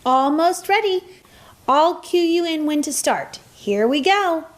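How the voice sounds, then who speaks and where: Supportive podcast mom for radio drama played by a woman, high-pitched and lively. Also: unhurried. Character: supportive mom producing her daughters' podcast. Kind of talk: scripted radio play acting.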